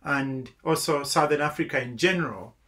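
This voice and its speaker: A middle-aged man from Zambia giving earnest, measured closing remarks on a webinar video call from a home office. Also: a little high, a bit bright. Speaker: webinar speaker.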